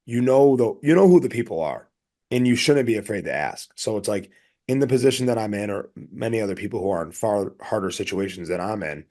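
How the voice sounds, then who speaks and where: A man's voice: on the low side, animated, fairly quick, warm tone. A man talking casually as a podcast co-host, rambling earnestly in an anecdotal way.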